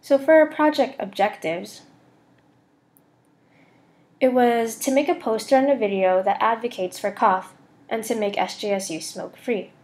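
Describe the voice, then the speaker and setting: A young adult woman with a fairly high voice narrates a prepared slide presentation. She speaks as a student presenter, reading from a script. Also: normal speed, long pauses, darkish tone.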